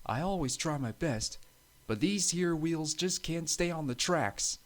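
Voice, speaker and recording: A man's voice: on the high side, lively intonation, unhurried, voice pushed up. A man voicing an eager, earnest American steam engine with an American accent and folksy speech in a children's story. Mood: apologetic, sad. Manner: folksy, colloquial grammar.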